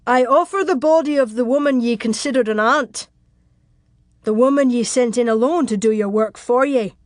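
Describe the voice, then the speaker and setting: A woman's voice: on the high side, normal speed, no room sound, clean studio sound, pitched above the performer's own voice, lightly acted. A woman voicing a brave, devoted medieval castle lord in an audiobook, earnest and using archaic words. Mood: defiant. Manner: earnest, archaic speech with "ye" and "lass".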